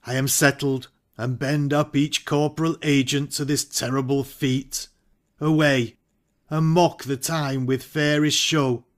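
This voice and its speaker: A man playing a hesitant, ambitious nobleman in a Shakespearean audio drama, speaking verse in a measured way. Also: mid-range voice, level tone, natural voice. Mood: resolved.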